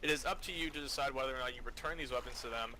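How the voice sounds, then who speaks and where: A man's voice: mid-range voice, racing. A foul-mouthed, bossy man giving an improvised mission briefing as squad leader over online game voice chat. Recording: loud background noise, game ambience.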